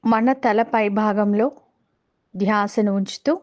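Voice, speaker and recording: A woman with a Telugu accent guiding a meditation in a calm, measured way, pausing between short phrases. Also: medium pitch, dull audio. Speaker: meditation guide. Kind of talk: guided meditation instruction.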